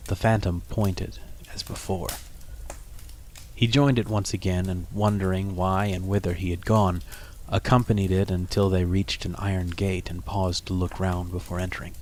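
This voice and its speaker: A man telling a classic story as narrator, in a deliberate, solemn and expressive dramatic reading, with some noise behind. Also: sits low. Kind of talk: dramatic audiobook-style narration of a classic tale.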